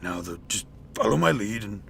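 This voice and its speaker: A man playing a gruff, eccentric old homeless man in a comic radio play. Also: fairly low pitch, very animated, moderate pace, noisy background. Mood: folksy, rambling. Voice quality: creaky, coughing. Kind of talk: comic radio theatre acting.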